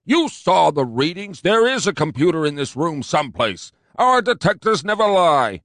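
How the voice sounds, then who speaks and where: A man's voice: a little high, sing-song, moderate pace, fairly bright tone, dry recording, clean studio sound, voice pushed up. A man voicing an immensely fat, officious police commander who talks in a pompous, overbearing way, in an audiobook reading.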